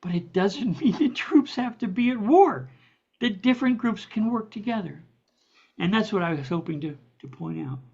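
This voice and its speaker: A man's voice: high voice, animated, normal speed, gravelly, darkish tone, breathy edge. A man being interviewed as a guest, explaining his views in a thoughtful way. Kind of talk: conversational interview. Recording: dull lo-fi sound.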